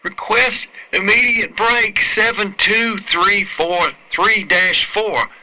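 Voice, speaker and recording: A man playing a weary prisoner miner in a science fiction audio drama, speaking in a strained, halting way over a helmet radio. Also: high voice, steady tone, sharp tone, pitched above the performer's own voice, heavily acted, poor audio. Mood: exhausted, pleading. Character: weary, pleading male prisoner miner in spacesuit.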